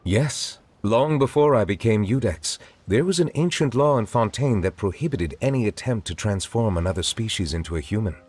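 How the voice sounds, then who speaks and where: An actor playing a stately male chief judge who speaks in a formal, measured, courtly way. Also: on the low side, animated, darkish tone, husky.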